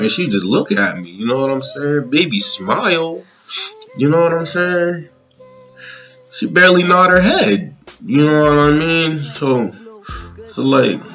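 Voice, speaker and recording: A thirty-year-old man giving a casual personal monologue to viewers, rambling and halting, often checking in with the listener. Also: on the low side, fairly bright tone, muffled recording. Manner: rambling, halting, often checking in with "you know what I'm saying". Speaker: vlogger.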